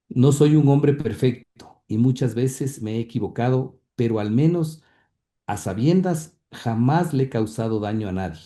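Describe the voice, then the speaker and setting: A man's voice: fairly low pitch, dark tone. A man giving an interview, speaking earnestly with many hesitations and repeated words, sometimes reading quotes aloud. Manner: earnest, heartfelt. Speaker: interview guest, grandson and author.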